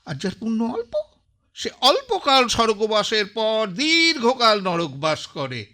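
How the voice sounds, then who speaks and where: An actor playing an older, learned man who muses about the afterlife, halting and repeating his words in a radio play. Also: high-pitched, big pitch swings, pushed and bright, exaggerated voice. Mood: musing. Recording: radio play with faint music.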